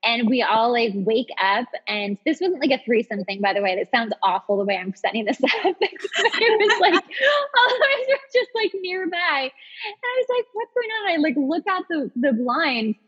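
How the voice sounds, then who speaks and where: A woman in her thirties with a husky voice, chatting casually and telling stories in an animated, self-deprecating way as a podcast host. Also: sits high, normal speed. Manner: animated, self-deprecating storyteller who acts out quotes. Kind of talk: casual chat and storytelling. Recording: dull audio with long reverb.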